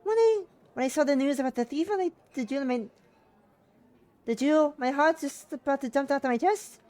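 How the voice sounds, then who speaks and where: An emphatic Russian mother played by a woman frets and scolds in a high, loud voice. Her accent is thick and put-on, her manner nagging and doting, as in an amateur audio drama. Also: a little fast, close to an everyday voice. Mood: anxious, relieved. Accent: exaggerated put-on Russian.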